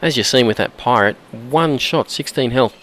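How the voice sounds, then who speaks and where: A man with a hoarse, croaky voice from a cold, chatting casually while he plays and often clearing his throat. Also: medium pitch, normal speed, fairly bright tone. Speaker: gameplay commentator. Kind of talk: casual let's-play commentary.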